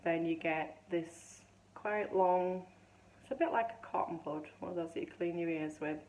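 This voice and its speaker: A woman in her fifties chatting casually to camera, rambling and restarting as she tells a story, her voice still a little hoarse. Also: on the low side, normal speed, a little mellow, rough recording. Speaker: vlogger.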